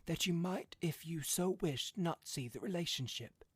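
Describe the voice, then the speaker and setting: A man acts out an excitable old father from an audiobook. His voice is thin and husky, pitched up and dry, and he speaks with agitated, stubborn insistence. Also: moderate pace, no room sound. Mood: earnest. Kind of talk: audiobook character voice.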